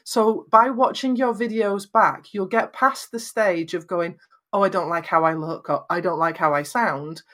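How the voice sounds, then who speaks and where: A woman from northwest England with a Lancashire accent and flat vowels, giving encouraging advice about presenting on camera in an emphatic, conversational way. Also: lowish voice, moderate pace. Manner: emphatic, encouraging, acts out little quotes. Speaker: guest giving video advice.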